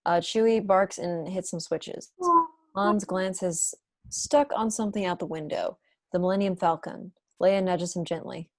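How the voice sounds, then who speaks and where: A woman's voice: fairly low pitch, fairly even intonation, measured pace, mellow, dry recording, clean studio sound. A woman reading screenplay stage directions as scripted narration in an online table read. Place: indoors on a video call.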